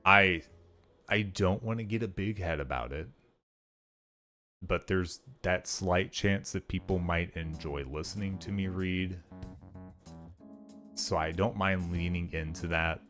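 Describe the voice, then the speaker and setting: A young man with a deep, low voice talks casually on a stream, wandering through his thoughts and peppering every sentence with filler words. Faint music plays underneath him. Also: unhurried, long pauses, fairly bright tone. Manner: rambling, heavy on "like" fillers. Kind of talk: casual livestream chat.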